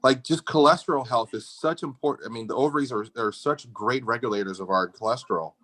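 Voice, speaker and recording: A man's voice: medium pitch, quick pace, a bit bright, no room sound. Emphatic, slangy man in a dry recording. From Dominican Republic. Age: middle-aged. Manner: informal, opinionated, emphatic with slangy asides. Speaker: endometriosis surgeon, guest speaker. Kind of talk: casual medical discussion. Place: video call.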